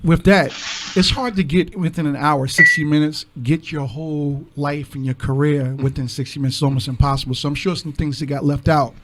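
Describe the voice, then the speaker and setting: Male interview host, slightly high voice, conversational, clearly noisy pickup. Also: steady tone, brisk. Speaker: radio show host. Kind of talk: casual interview chat.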